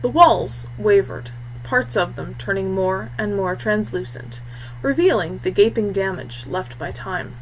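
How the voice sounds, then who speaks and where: A woman's voice: mid-range voice, a little slow, slight background noise, low-quality recording. A woman reading a scripted adventure story as narrator. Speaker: audiobook reader.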